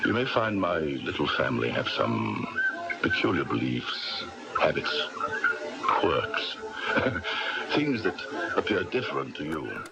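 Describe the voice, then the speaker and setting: An actor playing an eccentric, genial man in a radio drama, speaking in a mannered, courtly and deliberate way, over muffled sound. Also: a bass voice, big pitch swings, creaky, loud music behind the voice, full character voice. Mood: sly, apologetic.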